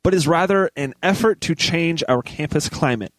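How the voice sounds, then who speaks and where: Male co-host and news reader: slightly high pitch, halting read, casual, dry close sound. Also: dry recording. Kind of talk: reading articles aloud amid casual banter.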